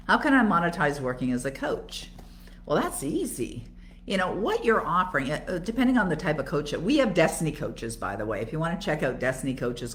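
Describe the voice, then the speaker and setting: An older woman with a Canadian accent, speaking conversationally as a coach on a live Q&A and answering her own rhetorical questions as she explains. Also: fairly low pitch. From Toronto, Canada. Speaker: coach and author hosting a live Q&A.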